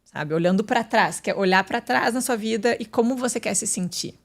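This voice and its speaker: A woman's voice: mid-range voice, normal speed, creaky. A woman, a podcast guest talking candidly and casually, with many fillers and restarts. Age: 30s. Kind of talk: casual interview chat.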